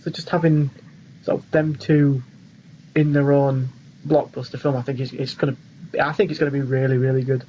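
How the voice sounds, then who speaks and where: A man's voice: medium pitch, level tone, normal speed, mellow. A young man co-hosting a podcast, chatting casually about films in an easygoing, agreeable way. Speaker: podcast co-host. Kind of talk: casual film discussion. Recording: dull lo-fi voice track, faint noise.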